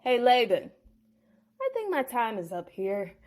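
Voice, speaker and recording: A woman's voice: a little high, sing-song, a little slow, darkish tone. A woman voicing Jacob, a crafty young shepherd making a casual, persuasive bargain.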